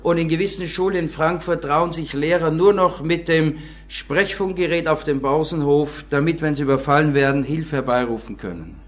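A man preaches with earnest conviction as a guest speaker, his voice sitting a little higher than most men's. He builds his points steadily and stops to read press quotes aloud. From born in the city of the talk. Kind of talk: sermon.

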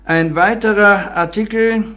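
A man's voice: high-pitched, measured pace, sharp tone, faint music behind. A man giving an earnest sermon as a guest preacher, reading newspaper quotes aloud to the congregation. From born in the city of the talk.

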